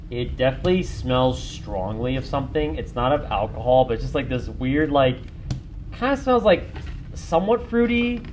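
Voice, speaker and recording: A man acting as game master, narrating a tabletop adventure in an informal, conversational way as he searches for words. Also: mid-range voice. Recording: noisy home group recording.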